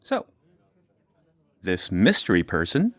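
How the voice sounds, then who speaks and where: A man playing a laid-back, teasing high-school boy in an audio drama. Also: wildly swooping pitch, slow, fairly bright tone, no echo, full character voice. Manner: casual, teasing. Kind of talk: scripted audio drama acting.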